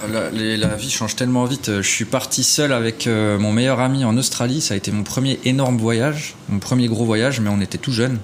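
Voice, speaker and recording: A man's voice: mid-range voice, steady tone, fairly quick, few pauses, a little hiss or hum. A man being interviewed on a podcast in an apartment, giving earnest advice with frequent hesitations. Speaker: guest, property investor. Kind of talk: casual interview chat. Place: apartment rental abroad.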